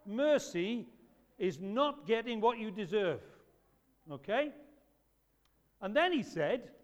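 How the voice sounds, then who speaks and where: A man with a loud voice, preaching an animated talk to children at a church service. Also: high-pitched, slow, lo-fi sound. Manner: lively, emphatic, storytelling to children. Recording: echoey hall reverb.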